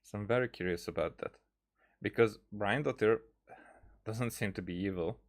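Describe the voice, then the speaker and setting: Man, lowish voice, gaming streamer, casual lore commentary, halting, rambling, thinking aloud.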